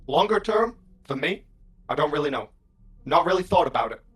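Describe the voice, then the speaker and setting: Man as a husky, wistful robot captain. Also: a little high, big pitch swings, a little fast, a bit bright, heavily acted. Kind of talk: scripted fan audio drama.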